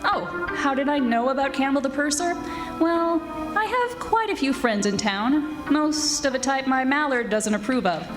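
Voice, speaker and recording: High-voiced, sassy actress as a radio lady detective. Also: expressive, over music. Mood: wry narrating. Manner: sassy, quick-witted. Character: brash, wisecracking young female private detective, self-assured. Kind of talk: 1940s radio detective acting, dialogue and narration. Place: live stage performance of a radio play.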